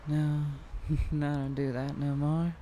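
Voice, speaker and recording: Low, slow Southern vampire trucker woman, acted out by a woman. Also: little pitch movement, mellow, slight background noise. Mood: playful, boastful. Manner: slow, teasing drawl with frequent chuckles and lingering pauses. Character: tall, tough vampire trucker woman, playful, teasing, predatory. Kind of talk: ASMR roleplay.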